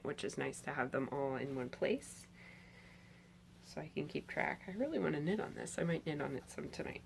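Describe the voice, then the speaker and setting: A woman in her thirties with a low, gravelly voice, a bit hoarse from a cold. She chats eagerly about her knitting to a camera in front of her, in a bedroom. Faint hiss sits behind her. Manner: chatty, enthusiastic, lots of "um"s. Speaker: knitting podcast host. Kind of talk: casual show-and-tell chat. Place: bedroom with pillows, indoors.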